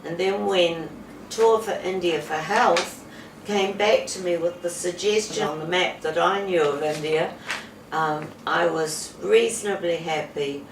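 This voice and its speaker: A woman in her seventies from New Zealand, giving an unscripted testimonial to camera as a patient in an indoor room, speaking slowly with pauses and fillers. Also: fairly low pitch. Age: 70s. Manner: slow, measured, with pauses and fillers. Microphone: camera mic from a few feet away. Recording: roomy, distant camera audio with background hiss.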